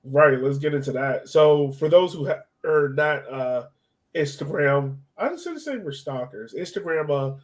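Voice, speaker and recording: A man's voice: on the high side, lively intonation, moderate pace. A man hosting a sports podcast in casual chat, with a colloquial, buddy-to-buddy way of talking.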